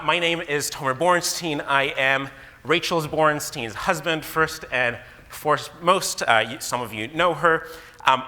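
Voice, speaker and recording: A man in his thirties, originally from Israel, giving a casual, animated conference talk as a guest speaker. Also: a little high. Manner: casual, animated presenter with fillers. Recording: long hall reverb.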